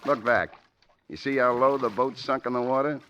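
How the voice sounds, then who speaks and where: An actor playing a ruthless, bullying bank robber with tough slangy talk in a 1940s radio drama. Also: male voice, medium pitch, steady tone, normal speed, a bit bright, a little hiss or hum, close to an everyday voice. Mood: irritated. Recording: dull lo-fi old radio recording.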